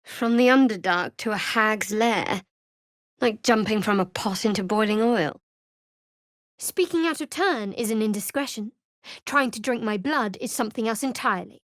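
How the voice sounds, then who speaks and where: An actress playing a guarded, sardonic young woman cleric devoted to a dark goddess in a video game, with dry, wry, measured delivery. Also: fairly high pitch, dry recording, lightly acted. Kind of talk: video game character voice lines.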